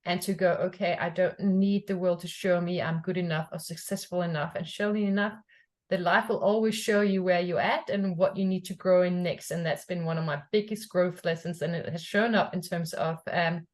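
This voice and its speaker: A woman's voice: fairly low pitch, fairly even intonation, brisk, darkish tone. Reflective woman from Denmark. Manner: reflective, repeats "yeah?" to check in. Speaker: podcast host. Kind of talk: casual conversation.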